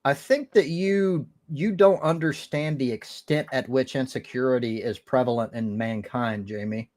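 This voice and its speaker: A man's voice: mid-range voice, normal speed, darkish tone. An American man in casual debate on a live stream, blunt and opinionated, with some swearing.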